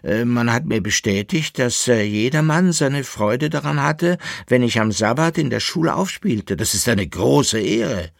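A man voicing a wry, evasive fiddler who hesitates and feigns calm, in an audiobook story. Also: lowish voice, measured pace, vocal fry, breathy edge, no echo, clean studio sound, full character voice. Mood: feigned calm. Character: wry, evasive, forgetful male fiddler, resigned yet cheerful.

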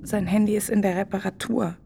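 An actress playing a troubled, secretive married woman in a German radio drama, speaking in a hushed, introspective way. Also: mid-range voice, steady tone, creaky, slightly rough. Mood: guarded. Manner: slow, introspective. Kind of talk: radio drama inner monologue and dialogue. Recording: music under the voice.

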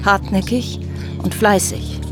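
A woman narrating an audio drama from a script in a composed, measured way. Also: medium pitch, fairly even intonation, over music.

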